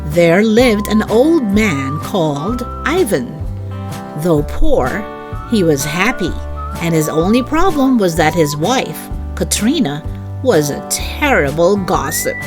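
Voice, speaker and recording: A woman reading a story aloud to children in an expressive, deliberate, child-directed way. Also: on the low side, music in the background.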